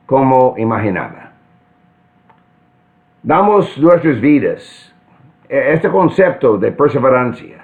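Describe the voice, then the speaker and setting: Older american guy preaching, slow deliberate delivery, tinny phone-like sound. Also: medium pitch. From central Kansas, United States. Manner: deliberate, earnest, with frequent restarts. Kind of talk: sermon.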